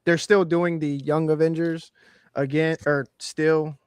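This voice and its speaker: A man's voice: fairly high pitch, flat delivery, unhurried, clear, resonant tone, no echo, studio quality. A man co-hosting a casual pop culture chat, rambling and profane, with many fillers.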